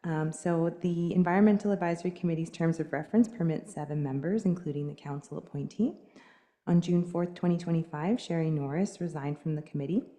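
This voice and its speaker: A woman with a hoarse voice from a cold, reading a staff report as corporate officer at a council meeting. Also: low-pitched, dark tone. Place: council chamber. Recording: long hall reverb.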